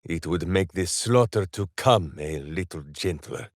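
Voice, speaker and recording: An actor playing a big-hearted, simple-minded berserker ranger in a video game, declaiming grandly and earnestly. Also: male voice, low voice, unhurried, no room sound, studio quality. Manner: grandiose third-person declamation, earnest and theatrical. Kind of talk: video game character voice lines.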